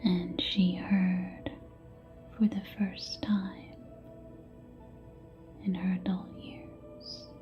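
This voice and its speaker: A woman telling stories as an ASMR host in a gentle, hushed whisper with long pauses. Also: on the low side, flat delivery, dull audio, soft background music. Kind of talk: ASMR whispered bedtime storytelling.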